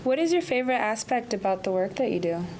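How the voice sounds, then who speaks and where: A woman's voice: on the high side, big pitch swings, quick pace, darkish tone. Woman interviewer, hesitant, filler-heavy questions, faint hiss. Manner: hesitant, starts with "um".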